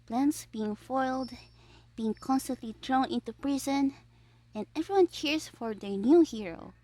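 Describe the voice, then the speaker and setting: A woman voicing a gleeful, scheming young male supervillain in an ASMR audio roleplay, theatrical and petulant, musing aloud with breathy asides. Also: sits high, measured pace, mellow, rough recording. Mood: frustrated musing.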